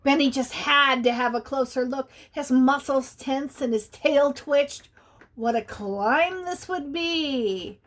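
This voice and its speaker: A woman's voice: sits high, takes it slowly, a bit bright. A woman reading a picture book aloud to young children, playful and asking her listeners questions.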